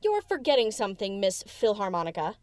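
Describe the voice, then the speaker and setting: A woman's voice: medium pitch, sing-song, brisk, darkish tone, no room sound, lightly acted. A woman playing a brash, cheeky female DJ pony who talks in a casual, cocky, teasing way in an audio drama. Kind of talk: fan audio drama character acting.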